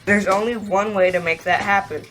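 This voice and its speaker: A woman's voice: mid-range voice, fairly even intonation, normal speed, a bit bright, a little hiss or hum, close to an everyday voice, poor audio. A woman voicing a cocky, defiant young boy itching to fight, in an audio drama. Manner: terse, defiant.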